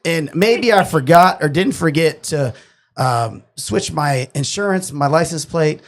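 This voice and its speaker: A young man from New York telling a profane, animated story in a casual podcast chat. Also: on the high side, measured pace. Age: 20s.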